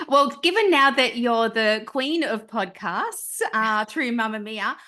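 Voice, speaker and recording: A woman's voice: a little high, expressive, slow pace, sharp tone. A woman hosting an interview podcast, reading a scripted intro and then chatting and laughing with her guest. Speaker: podcast host.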